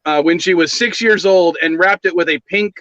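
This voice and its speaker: Middle-aged man from southern california, storyteller type, slightly high voice, dull dry audio. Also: normal speed, a bit bright, no room sound. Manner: chatty, rambling storyteller. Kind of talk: casual chat.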